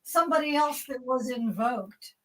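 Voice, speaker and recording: A woman's voice: high-pitched, expressive, normal speed, fairly bright tone. A woman moderating a casual fan panel discussion, chatty and informal in the way she talks.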